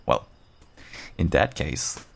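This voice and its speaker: A man playing a seasoned werewolf adventurer, gruff but kindly and wry, talking straight to the listener in an audio roleplay. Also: slow, lightly acted. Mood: amused, agreeable. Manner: reassuring, wry, bantering. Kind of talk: audio roleplay, one-sided dialogue addressed to listener.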